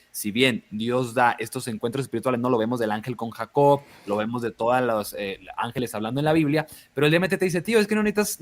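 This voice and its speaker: A man co-hosting a live talk show, speaking reflectively with frequent hesitations and restarts. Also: mid-range voice. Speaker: podcast co-host. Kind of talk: casual live discussion.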